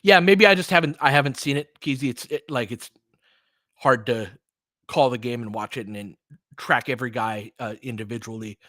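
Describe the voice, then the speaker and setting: A man's voice: medium pitch, normal speed, pushed and bright, no echo, clean studio sound. A man with an American accent hosting a casual basketball commentary, analytical and thinking aloud in sentences that trail off and restart. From California, United States. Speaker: host and play-by-play commentator. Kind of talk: casual basketball chat and live commentary, answering listeners.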